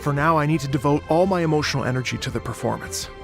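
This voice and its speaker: A man's voice: fairly high pitch, fast, creaky, over loud music. An actor playing an earnest, fretful male musician. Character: earnest male musician, nervous before a concert.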